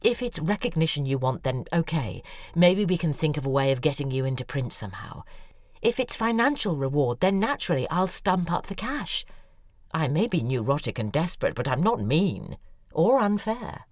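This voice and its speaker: A woman's voice: low-pitched, big pitch swings, normal speed, darkish tone, no echo. A woman, playing a neurotic, desperate novelist who speaks confessionally and often drops into a whisper. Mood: pleading. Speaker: writer confiding in her ghostwriter. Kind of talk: audio drama acting. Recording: dry studio voice with faint music.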